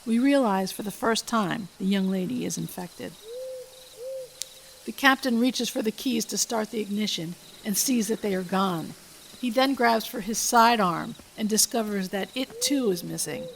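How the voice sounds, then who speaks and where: A woman's voice: mid-range voice, normal speed, creaky, a little hiss or hum, low-quality recording. A woman podcast host reading her own script aloud, stage directions and all. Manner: reads stage directions steadily. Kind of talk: script read-aloud narration.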